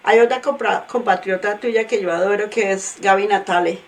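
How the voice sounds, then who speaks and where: A woman hosting a podcast interview from Michigan, praising her guest with halting restarts. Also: medium pitch, far from the mic. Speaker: podcast host.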